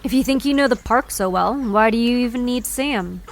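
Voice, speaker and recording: A woman playing a gruff, dismissive guardian creature in an audio drama, curt and challenging. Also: a little high, normal speed, natural voice. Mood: grudging, challenging.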